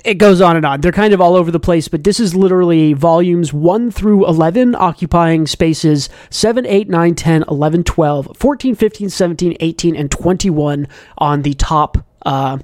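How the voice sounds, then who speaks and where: A man with a slightly high-pitched voice hosts a casual podcast. He talks in a rambling, enthusiastic fan's way. The sound is close and dry, with little room to it. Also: moderate pace, darkish tone, no room sound. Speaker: podcast host. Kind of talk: casual manga podcast chat.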